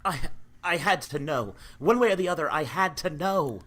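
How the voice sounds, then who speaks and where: An actor playing a troubled, grieving young man, agitated and halting, in vintage radio drama style. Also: a little high, expressive, fairly bright tone. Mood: defensive. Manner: agitated, halting, repeating himself.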